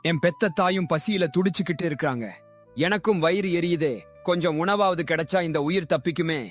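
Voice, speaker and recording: A man playing a kind, poor young village goatherd, earnest and emotional, in an animated moral story. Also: sits high, a little fast, soft background music, close to an everyday voice. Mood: agitated.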